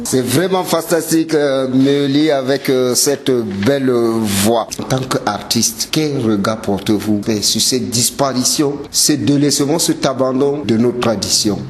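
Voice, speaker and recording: A man's voice: mid-range voice, unhurried, few pauses. Man, radio host, short hesitant questions, roomy echo, faint noise. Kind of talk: radio interview.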